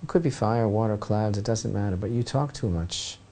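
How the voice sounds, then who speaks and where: Brief: male rabbi teacher, mellow warm tone, lively storyteller with asides. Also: on the low side, normal speed. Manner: dramatic storytelling, asides to listeners. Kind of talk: Torah lesson retelling a legend.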